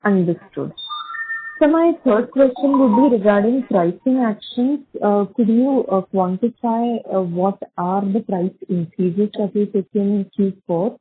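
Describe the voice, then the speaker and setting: Slightly husky Indian woman, phone line. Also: medium pitch, a little slow, no room sound, soft background music. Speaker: equity analyst asking questions. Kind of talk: earnings call questioning. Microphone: conference call phone line.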